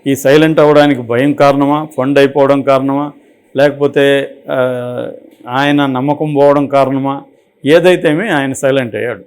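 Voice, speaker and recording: A man's voice: mid-range voice, fairly even intonation, normal speed, pauses often, clear, resonant tone. A man with a Telugu accent giving unscripted opinionated commentary, explaining through examples and rhetorical questions. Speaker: political and film commentator.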